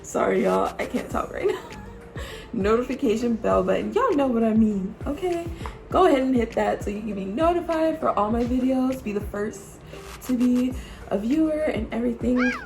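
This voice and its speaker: A woman's voice: on the high side, lively intonation, moderate pace, creaky, a little mellow, soft background music. A woman in her late twenties chatting casually to camera as a vlogger, playful and teasing, laughing often and calling her viewers y'all. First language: English. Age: 20s. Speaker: YouTube vlogger.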